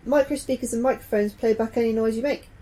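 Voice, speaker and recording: A woman playing a gentle, anxious, nerdy scientist in an audio drama, speaking earnestly and a little hesitantly. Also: on the high side, fairly even intonation, fast talker, natural voice. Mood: keen, geeky. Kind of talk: naturalistic audio drama acting.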